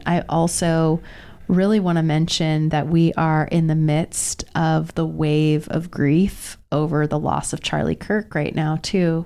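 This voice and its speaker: A woman's voice: low voice, darkish tone. A woman in her thirties co-hosting a podcast, sounding a little nasal from a cold, speaking earnestly and reflectively. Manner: earnest, reflective, slow in places. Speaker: podcast co-host. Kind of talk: casual podcast conversation.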